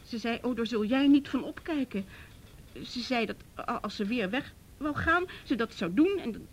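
A woman's voice: lively intonation, moderate pace, lightly acted. An actress in a radio play playing an anxious, distraught mother who stammers and repeats her words. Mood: worried. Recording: faint noise and low rumble.

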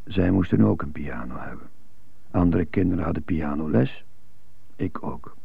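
An actor in a Dutch-language radio play, playing an earnest, brooding prisoner who loves jazz. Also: male voice, deep voice, expressive, normal speed, exaggerated voice. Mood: bitter. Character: jazz-loving prisoner, earnest, brooding over his strict childhood. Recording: muffled gravelly-sounding phone-like radio tape.

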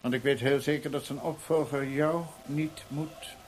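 An actor in a radio play voicing a scornful, moralising, nosy theatre official, disdainful and knowing. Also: male voice, mid-range voice, steady tone, moderate pace, close to an everyday voice. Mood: cold disdain. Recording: noisy archived radio play recording.

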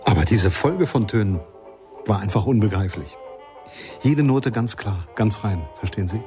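A man's voice: fairly low pitch, animated, normal speed, dark tone, faint music behind, close to an everyday voice. An actor playing a thoughtful jazz witness in a radio play, reflective and often correcting himself as he searches for words.

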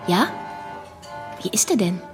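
An actress playing a curious, easily shocked young confidante in a German radio play, reacting with brief questions. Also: female voice, medium pitch, big pitch swings, a little slow, over music.

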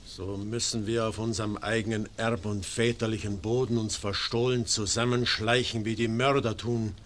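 A man's voice: lowish voice, little pitch movement, normal speed, slight background noise, lightly acted. An actor playing an indignant elder male confederate in a classic German radio play, with swelling declamation.

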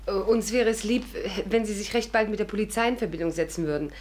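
A woman's voice: mid-range voice, fast talker, darkish tone, natural voice. An actress in a 1950s German radio drama playing a sensible, sceptical young woman, polite and wry. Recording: noisy 1950s radio recording.

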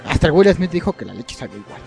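A man's voice: on the high side, very animated, very fast, vocal fry, a little mellow, soft background music. A man with a Mexican accent co-hosting a podcast, dropping crude, slangy jokes into casual chat. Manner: joking, slangy. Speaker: podcast co-host.

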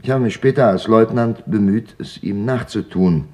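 Man playing a restrained older survivor, low-pitched. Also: normal speed, darkish tone, natural voice. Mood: reflective, restrained. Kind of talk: radio documentary drama, quoted testimony.

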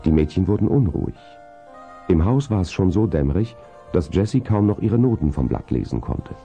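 A man narrating a children's radio play in an unhurried, atmospheric storytelling style, on a dull vinyl transfer. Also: low voice, big pitch swings, mellow, faint music behind.

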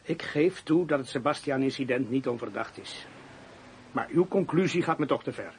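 An actor playing a cautious, correct police inspector in a radio play, speaking formally and in measured phrases. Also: male voice, mid-range voice, lively intonation, a little hiss or hum, close to an everyday voice. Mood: reserved.

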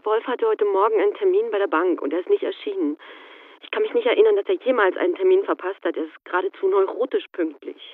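A pleading, anxious wife in a radio crime drama, heard over a dull telephone line. Her voice is husky and breathy, full of fear. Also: mid-range voice, fast, dry recording. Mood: worried, urgent. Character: frightened, pleading wife of a missing man. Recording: dull, band-limited telephone sound.

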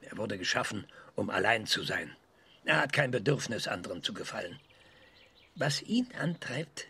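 A man playing a self-assured research scientist in a radio drama, lecturing emphatically with dramatic pauses. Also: on the low side, vocal fry, sharp tone, slightly rough, full character voice. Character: excitable, self-assured male research scientist giving an interview.

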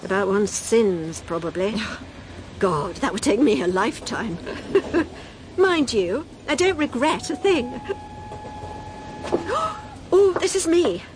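A brash older Englishwoman in a radio play, gossipy and shameless. Her high voice swoops dramatically as she gushes and teases. She takes her time over each phrase, relishing it. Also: very animated, slow, pauses often, noisy background, exaggerated voice. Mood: gushing, merry. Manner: gushing, teasing, theatrical gasps and giggles.

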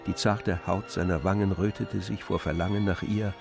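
A man narrating a literary radio drama in a measured, composed, knowing manner. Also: low-pitched, fairly even intonation, vocal fry, music in the background. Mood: composed, intimate.

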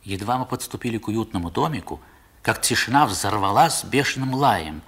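A man acting as the narrator of a 1960s radio drama, recalling his boyhood in measured, expressive literary prose. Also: fairly low pitch, husky, heavily acted. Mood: tense, excited. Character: grown male narrator recalling his seaside boyhood adventure.

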